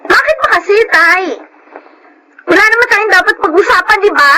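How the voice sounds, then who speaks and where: An actress playing a resentful, outspoken daughter in a Filipino radio drama, blunt and reproachful. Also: female voice, very high-pitched, normal speed, noisy background.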